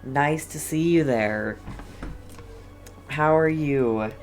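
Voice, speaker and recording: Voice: mid-range voice, drawn-out words, soft background music. A game streamer giving casual, chatty livestream commentary with light teasing.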